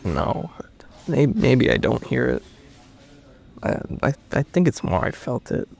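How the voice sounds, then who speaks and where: A man's voice: mid-range voice, big pitch swings, measured pace, gravelly, a little mellow, husky, soft background music, full character voice. A man playing a confused, shaken survivor under questioning in a naturalistic audio drama, hesitant and stammering as he speaks. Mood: confused, searching.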